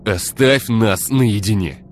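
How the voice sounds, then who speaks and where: A man's voice: fairly low pitch, slow, a little hiss or hum, pitched above the performer's own voice. A man voicing an injured young man who speaks weakly and groans. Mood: firm. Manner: weak and groaning, then firm.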